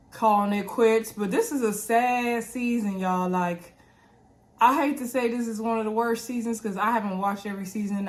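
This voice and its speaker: A woman talks casually to her viewers, recapping a reality show. She is animated and chatty, and her voice sounds congested from a recent cold. Her pitch sits in the middle range. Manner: chatty, animated, says "y'all" and "anywho". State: congested, coughing.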